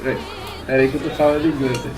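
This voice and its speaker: A man's voice: medium pitch, level tone, quick pace, poor audio. A Brazilian man chatting casually and slangily as a player in a tabletop game, with music behind. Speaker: role-playing game player.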